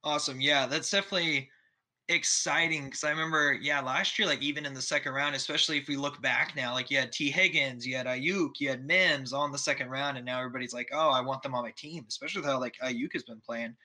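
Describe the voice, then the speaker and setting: A podcast host from Texas speaks with a very bright, sharp voice. His talk is easygoing and upbeat, full of casual fillers as he asks questions. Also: medium pitch, steady tone, fast.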